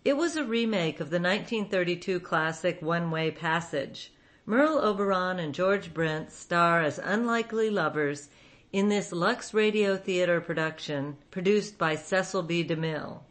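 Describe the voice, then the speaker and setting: A woman's voice: on the low side, moderate pace. Lady radio host reading a scripted show intro.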